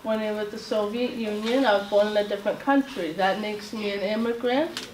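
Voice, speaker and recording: Woman, from Latvia, informal talk, halting with fillers, far from mic, echoey room, faint background hum. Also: medium pitch, steady tone. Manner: slow, halting, frequent fillers and restarts. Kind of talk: informal presentation to a group. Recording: roomy echo, voice far from mic, faint ambience.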